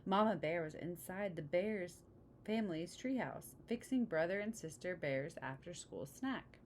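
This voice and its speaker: A woman's voice: fairly low pitch, animated, measured pace, darkish tone, slight background noise. A woman reading a children's picture book aloud, chatting to young listeners as she explains the pictures. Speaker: read-aloud storyteller. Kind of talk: picture-book read-aloud with casual asides.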